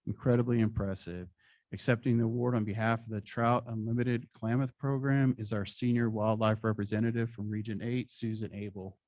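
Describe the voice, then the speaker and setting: A man presenting awards at a conference, reading citations in a formal, measured way with occasional slips. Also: fairly low pitch, steady tone, a little mellow, dry recording, low-quality recording. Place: conference hall stage.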